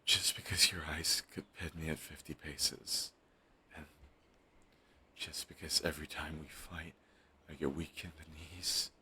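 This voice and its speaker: A man's voice: a bass voice, flat delivery, unhurried, slightly rough, heavily acted. A man voicing a brooding villain who is defensive and lovestruck, talking close and intimately to the listener. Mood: hushed, flustered. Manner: intimate, shifts between hushed and heated. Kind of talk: audio roleplay monologue.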